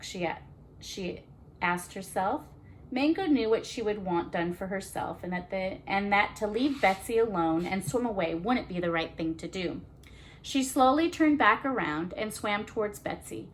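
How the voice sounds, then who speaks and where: A woman's voice: mid-range voice, low-quality recording. Woman reading a children's story, faint noise. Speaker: children's storytime reader and host. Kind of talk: expressive storybook narration with casual asides.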